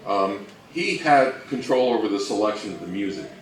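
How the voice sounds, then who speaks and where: A man giving an informal, explanatory talk on radio history as a presenter, speaking somewhat haltingly. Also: lowish voice, expressive. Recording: roomy, distant mic, faint noise.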